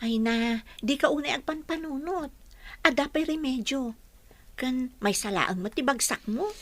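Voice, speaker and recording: A woman's voice: high-pitched, unhurried. A woman playing a concerned, chatty young friend in a radio drama, with an Ilocano accent. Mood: reassuring.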